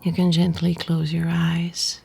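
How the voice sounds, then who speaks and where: Very slow, breathy, whispery woman guiding meditation. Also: fairly low pitch, completely flat delivery, darkish tone. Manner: soothing, unhurried, pausing between short phrases. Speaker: yoga nidra guide. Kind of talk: guided meditation narration.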